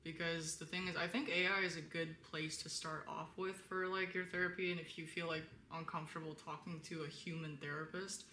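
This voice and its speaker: A young woman talks casually to her live stream viewers, candid and rambling. Her voice is bright and forward, recorded in an echoey room with a faint layer of noise behind it. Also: flat delivery, brisk, few pauses. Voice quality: lower-toned voice. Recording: roomy echo, faint background noise.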